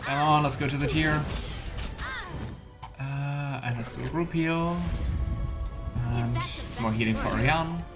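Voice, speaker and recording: A man's voice: a little high, slow, pauses often. A man giving casual let's play commentary, thinking aloud in loose fragments. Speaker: game streamer. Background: faint game music.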